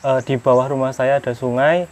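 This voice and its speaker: A man's voice: mid-range voice, fairly even intonation, fairly quick, a little mellow, a little hiss or hum. Modest farmer in his thirties. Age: 30s. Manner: plain, modest, hesitant with fillers. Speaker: young farmer guest. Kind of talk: casual interview answers. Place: outdoor greenhouse on a hillside.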